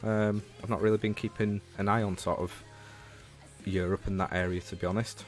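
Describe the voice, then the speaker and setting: Low-pitched Northern English man, chatting casually. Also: level tone, normal speed, faint music behind. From Leeds, England. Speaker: livestreamer and show host. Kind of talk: casual livestream chat about games, replying to viewers.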